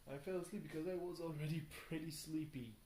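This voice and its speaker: A tired young man rambles casually through a video diary, halting and thinking aloud, often questioning himself. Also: on the high side, a little mellow. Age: young adult. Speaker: video blogger. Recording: roomy echo, faint background noise.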